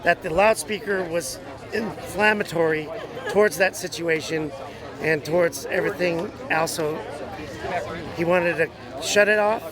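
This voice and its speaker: An American man with a high-pitched voice speaks as an organizer in an outdoor interview. He explains in halting, broken phrases, with a clearly audible crowd in the background. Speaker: rally organizer. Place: outdoors at a public rally. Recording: outdoor crowd noise behind voice. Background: crowd chatter.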